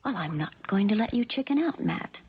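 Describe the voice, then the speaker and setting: A woman's voice: medium pitch, very animated, fairly quick, husky. An American woman playing a spoiled, scheming young heiress in a 1970s radio drama, bossy and matter-of-fact. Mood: cool, insistent. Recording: muffled 1970s radio recording.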